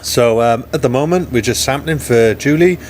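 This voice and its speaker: A Welsh man giving relaxed, lightly joking live commentary from a ship's control room. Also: medium pitch, normal speed, a little hiss or hum. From Wales. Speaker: scientist narrating a live dive broadcast.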